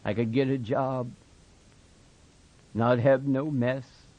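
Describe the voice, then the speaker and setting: Brief: a man playing a tired flat-voiced ranch hand, low and quiet, drawl, dull lo-fi audio. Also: measured pace, husky. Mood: weary. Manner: quiet, monotonous, wooden. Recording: dull lo-fi, dry.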